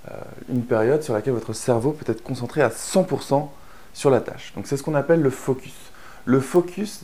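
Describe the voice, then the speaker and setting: Man, from France, course instructor, mellow tone, relaxed chatty delivery, lots of fillers. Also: mid-range voice. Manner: chatty, many fillers and restarts. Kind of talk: explanatory course narration.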